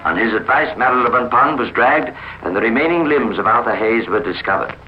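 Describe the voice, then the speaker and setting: A man narrating a 1950s radio crime drama in a formal, measured storytelling manner. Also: medium pitch, animated, soft background music. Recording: dull, noisy old radio recording.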